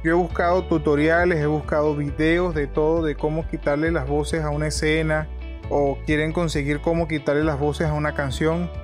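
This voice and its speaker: A man's voice: on the high side, little pitch movement, normal speed, soft background music. A man hosting an informal video tutorial, explaining conversationally and often repeating and rephrasing himself.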